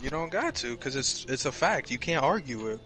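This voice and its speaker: A man's voice: medium pitch, very animated, fairly quick, heavy background noise. A man of nineteen teasing and arguing with a friend in casual chat while gaming. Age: young adult. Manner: teasing, argumentative, swears freely. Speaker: host.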